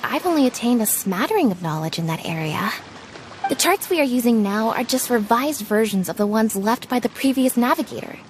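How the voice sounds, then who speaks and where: An actress playing a studious young female ship's navigator who explains things politely and gently, in a game's dialogue. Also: a little high, a little hiss or hum, lightly acted. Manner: soft, polite and explanatory, with light laughs. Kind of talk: game character dialogue. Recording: game audio with faint background music.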